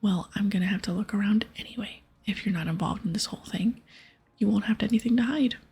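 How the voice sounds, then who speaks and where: A woman voicing a persistent, inquisitive adventurer from a game in a plain, soft ASMR whisper. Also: mid-range voice, fast. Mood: polite, determined. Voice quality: breathy whisper.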